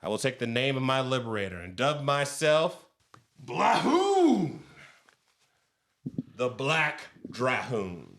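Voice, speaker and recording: An actor playing a brash, swaggering new vampire who talks in theatrical seventies slang in a campy horror comedy. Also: male voice, medium pitch, wildly swooping pitch, slow, pauses often, a bit bright, full character voice. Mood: grandiose. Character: brash, jive-talking 1970s man turned new vampire.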